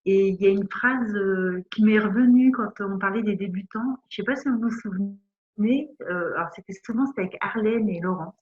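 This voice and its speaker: A woman's voice: medium pitch, moderate pace. A woman from northern France, a student in an online art course, chatting in an enthusiastic, talkative way on a group call. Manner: talkative, enthusiastic, repeats herself. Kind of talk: casual group video call. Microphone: video call. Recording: dull lo-fi call audio.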